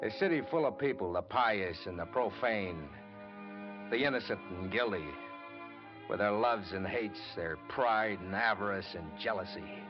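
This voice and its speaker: A brooding, hardboiled American newspaperman played by a male actor in a vintage radio noir. He is bitter and dramatic, with clipped phrasing. The old recording is muffled, with loud music behind the voice. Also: lowish voice, normal speed, lightly acted. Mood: challenging, intense. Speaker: radio drama lead and narrator.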